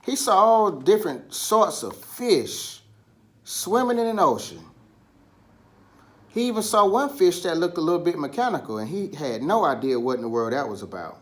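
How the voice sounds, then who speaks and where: A man telling a children's story in an animated style, with dramatic pauses and drawn-out, emphatic words. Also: a little high. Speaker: storybook reader.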